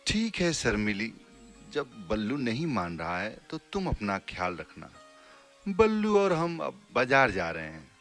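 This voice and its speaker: Man as a slow, cautious cartoon father. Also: mid-range voice, wildly swooping pitch, a bit bright, faint music behind, full character voice. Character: caring, cautious village father. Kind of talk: children's cartoon story acting.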